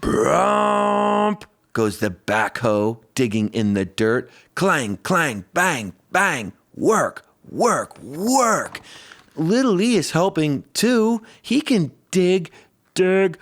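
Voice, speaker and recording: A man's voice: on the high side, big pitch swings, very slow, vocal fry, fairly bright tone. A man reading a rhyming children's picture book aloud, playfully stretching and stressing the sound words.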